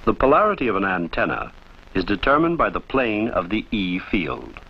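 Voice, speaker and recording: A man reading scripted instructional narration for a training film in a formal, measured, didactic way, on an old film soundtrack. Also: lowish voice, wildly swooping pitch, gravelly, breathy edge, muffled recording.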